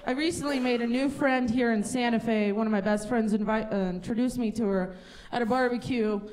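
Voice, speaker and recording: High-voiced woman doing stand-up. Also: fairly quick, poor audio. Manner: chatty, self-mocking, loud character bits. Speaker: stand-up comic. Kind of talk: stand-up comedy set. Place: comedy showcase stage with live audience. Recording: audience noise in a live venue. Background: audience laughter.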